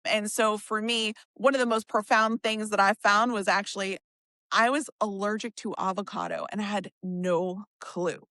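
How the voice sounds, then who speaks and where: Bright, chatty woman co-host. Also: mid-range voice, fairly even intonation, normal speed, dry recording. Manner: chatty, relatable, with frequent "like" fillers. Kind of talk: casual conversational chat.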